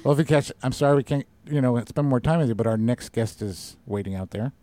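A man's voice: mid-range voice, warm tone, dry recording. Upbeat man hosting a dry-sounding interview. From Yonkers, New York. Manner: upbeat, jokey, quick to chime in. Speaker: radio show host. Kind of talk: casual live interview.

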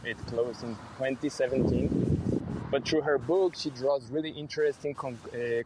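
A man's voice: mid-range voice, poor audio. A man from Mexico hosting a live radio conversation unscripted, repeating phrases as he searches for words. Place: outdoors, outside a detention center. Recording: faint outdoor traffic noise.

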